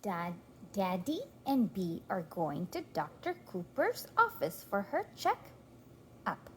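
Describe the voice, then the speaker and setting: A woman reading a storybook aloud to a toddler in an encouraging, sing-song way, pausing to prompt and praise. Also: medium pitch, wildly swooping pitch, a bit bright, slight background noise. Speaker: parent reading aloud to a young child.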